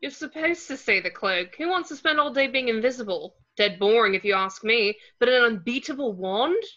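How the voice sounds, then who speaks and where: Woman reading as blunt teen boy wizard, high bright voice, muffled call audio. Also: animated, normal speed. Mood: blunt, casual. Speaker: table read actor. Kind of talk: scripted table read. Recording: dull video call audio.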